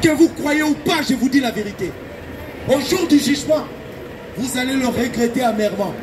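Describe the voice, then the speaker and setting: A male evangelical preacher from Belgium delivers a fervent open-air sermon. His voice sits extremely high, and he exhorts urgently with emphatic repetition. The recording is noisy, with traffic clearly heard behind him. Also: normal speed.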